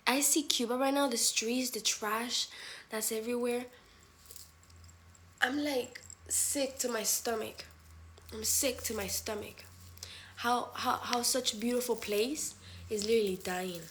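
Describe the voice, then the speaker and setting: A woman's voice: fairly high pitch, fairly even intonation, a little slow, fairly bright tone. A woman hosting a casual livestream from her phone, talking bluntly and swearing freely. She drops Spanish phrases in between her sentences, with a faint hum of background noise underneath her voice. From Spain. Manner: candid, blunt and profane. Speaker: livestream host. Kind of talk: casual live chat with viewers.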